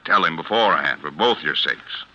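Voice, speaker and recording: A low, very bright and forceful voice of a middle-aged American lawyer, avuncular and earnest, reassuring as a kindly boss. It is 1940s radio drama, muffled by the old recording. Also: male voice, lively intonation, normal speed, a little hiss or hum, full character voice. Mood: fatherly, reassuring. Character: fatherly, reassuring older male law boss. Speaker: defense attorney, head of a law office.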